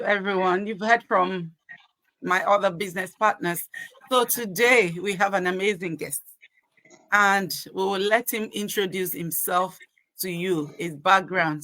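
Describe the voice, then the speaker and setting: The bright, forceful woman who moderates an online panel show, heard close and dry as she formally introduces each guest. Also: on the low side, dry recording. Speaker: podcast host.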